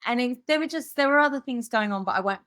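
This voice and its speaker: A woman's voice: a little high, expressive, fast, fairly bright tone, no echo. Female musician guest from the UK, candid storyteller, filler-heavy, dry recording. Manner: rambling, many fillers and restarts.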